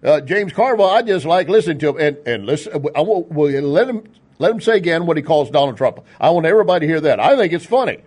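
A man's voice: fairly high pitch, animated, quick pace, a little mellow, slightly rough. An American man from Texas hosting a political radio show in a conversational, folksy way, with joking asides and rhetorical questions. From Texas, United States. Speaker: talk radio host.

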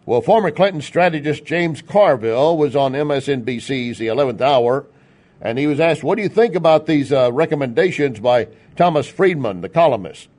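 A man from Texas with an American accent hosts a political talk radio show. His voice sits at a medium pitch. He speaks conversationally and folksily, tossing out rhetorical questions and joking asides. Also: expressive, fairly quick, a little mellow. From Texas, United States.

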